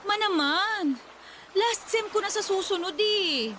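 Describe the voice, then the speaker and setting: A woman's voice: very high-pitched, slow pace. A young woman acting a meek, weary daughter with a Filipino accent, pleading and whining in a radio drama. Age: young adult. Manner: pleading, whiny, deferential. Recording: clear background noise.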